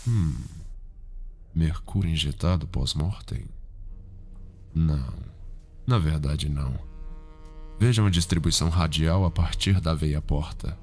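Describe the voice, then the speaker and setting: Man acting a deep-voiced, refined serial killer. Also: slow, pauses often, darkish tone, soft background music, heavily acted. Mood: clinical, savoring. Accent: Brazilian. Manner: pompous, precise, savoring his words. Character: refined, erudite, sinister male serial-killer consultant. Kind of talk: ASMR roleplay acting.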